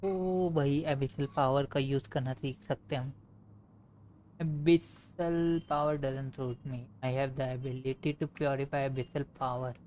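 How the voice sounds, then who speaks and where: A man's voice: medium pitch, fairly even intonation, fast, darkish tone, a little hiss or hum, rough recording. A man with an Indian accent, a native Hindi speaker, commenting live on a game and reading dialogue aloud. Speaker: game livestreamer. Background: game music.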